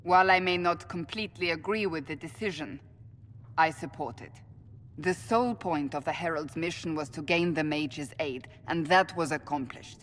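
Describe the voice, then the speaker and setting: A resolute warrior in an echoing hall. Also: female voice, low-pitched, normal speed, a bit bright. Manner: blunt, formal, firm. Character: blunt, resolute female warrior. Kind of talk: video game dialogue acting. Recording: echoey hall, faint noise.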